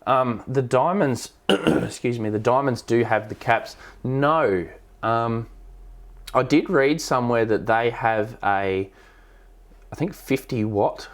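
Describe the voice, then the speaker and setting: A man's voice: medium pitch, slow. An Australian man hosting a casual technical question-and-answer stream, explaining things hesitantly as he thinks aloud. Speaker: live stream host, amateur radio operator.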